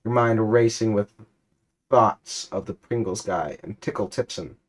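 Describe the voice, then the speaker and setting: A man giving a comic dramatic reading of a story, in a deadpan manner, sometimes stumbling and rereading lines. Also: on the low side, unhurried. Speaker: reader.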